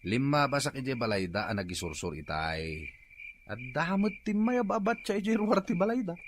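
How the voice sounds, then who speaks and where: A man's voice: medium pitch, sing-song, moderate pace, slight background noise, lightly acted. A man playing a playful, hungry fish-peddler husband in a comic radio drama, teasing and a little whiny. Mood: complaining.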